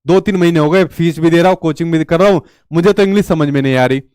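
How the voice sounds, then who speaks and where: A man's voice: on the high side, fast, a little mellow, smooth, no room sound, studio quality. A male teacher giving an exam-prep lecture in a chatty way, asking rhetorical questions and telling stories.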